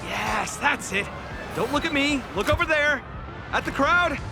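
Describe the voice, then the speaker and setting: An over-eager photographer who keeps calling out praise. His voice is very high and bouncy, a bit distant, with music playing under it. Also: animated, unhurried, gravelly, exaggerated voice. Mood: excited. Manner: enthusiastic, encouraging shouts. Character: excitable photographer on set. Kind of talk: video game cutscene voice acting.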